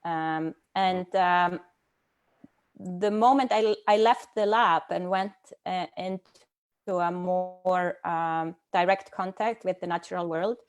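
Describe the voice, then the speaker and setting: A middle-aged woman from Iceland speaking as a guest on a video call, thoughtful and hesitant, with many pauses and fillers. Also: on the low side, steady tone, clear, resonant tone. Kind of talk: casual personal talk.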